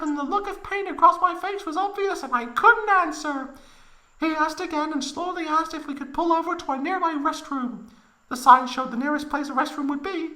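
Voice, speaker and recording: A woman's voice: very high-pitched, sharp tone, poor audio. A woman playing a proud, melodramatic young woman recounting an embarrassing tale in a comedic fan fiction reading, overwrought and close to giggling.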